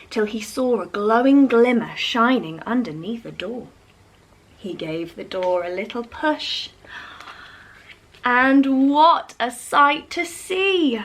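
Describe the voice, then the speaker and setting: A woman reads a rhyming children's story in a high, very expressive voice. She takes her time and speaks as if to young listeners. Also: rough recording. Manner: animated, addresses young listeners. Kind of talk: expressive read-aloud of a rhyming picture book.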